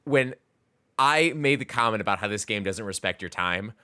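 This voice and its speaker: A man's voice: fairly low pitch, expressive, normal speed, bright, forceful tone, smooth, no echo, studio quality. A man co-hosting a podcast, talking casually about video games in an informal, emphatic way with lots of "like". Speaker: podcast co-host.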